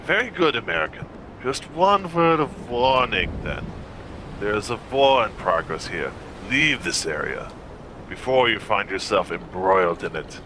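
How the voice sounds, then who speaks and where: A man's voice: on the low side, lively intonation, measured pace, noisy background. A man voicing a menacing, contemptuous German naval officer in a motion comic adventure. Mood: stern warning. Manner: contemptuous, commanding.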